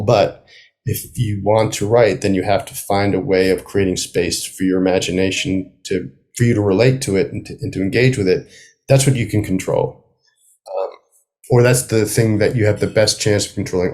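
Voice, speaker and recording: Thoughtful middle-aged man, lowish voice. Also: a little mellow. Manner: thoughtful, halting, circling back to rephrase. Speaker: guest playwright. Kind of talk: reflective interview conversation.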